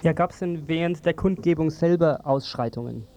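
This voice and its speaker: A man's voice: on the high side, normal speed, dark tone. A man asking questions as a radio news interviewer.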